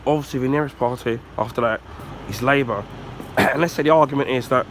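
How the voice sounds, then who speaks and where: A man from the UK with a husky, congested voice from the flu, giving a casual rambling rant with many ums and throat clearing. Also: mid-range voice, brisk, slight background noise. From United Kingdom. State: husky, recovering from flu, blocked nose. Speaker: YouTuber.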